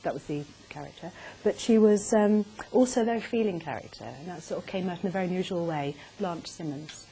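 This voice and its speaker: A woman's voice: on the low side, fairly quick, few pauses, warm tone, a little hiss or hum, low-quality recording. A woman hosting a live on-stage interview with light, teasing banter. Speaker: interviewer, host. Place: arts centre theatre, live on stage before an audience.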